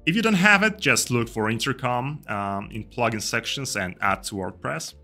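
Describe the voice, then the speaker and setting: A young man giving a casual step-by-step software tutorial, speaking into a close desk microphone indoors. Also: medium pitch, lively intonation, normal speed. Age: young adult. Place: indoor room. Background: music.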